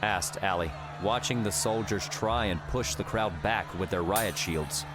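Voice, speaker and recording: A man with a low voice reads a fiction audiobook. His narration is scripted and dramatic, laid over clearly audible music. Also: a little slow, a crowd in the background. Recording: background music under the voice.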